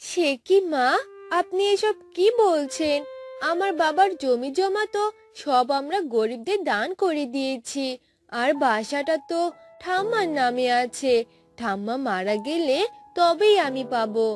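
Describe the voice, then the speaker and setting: A woman's voice: high voice, expressive, measured pace, soft background music. A woman voicing a polite, innocent young newlywed bride in a cartoon, sweet and earnest. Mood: bewildered. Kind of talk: Bengali cartoon voice acting.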